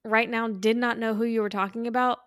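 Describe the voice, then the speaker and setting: A woman's voice: on the high side, flat delivery, fairly quick, fairly bright tone, dry recording, clean studio sound. A woman co-hosting a podcast in casual, chatty conversation, with a playful and candid way of talking. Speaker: podcast co-host.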